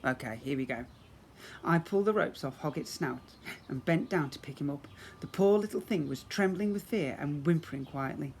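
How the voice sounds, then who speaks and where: A man giving an expressive storybook narration, as a teacher reads to young children. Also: fairly high pitch, moderate pace. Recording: clear background noise.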